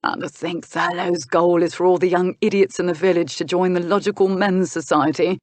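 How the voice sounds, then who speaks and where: A woman's voice: fairly low pitch, fairly even intonation, fairly quick, a little mellow, dry recording, close to an everyday voice. A woman voicing a wry, easygoing, gossipy earl who is a reluctant magistrate, in a historical romance audiobook. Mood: amused.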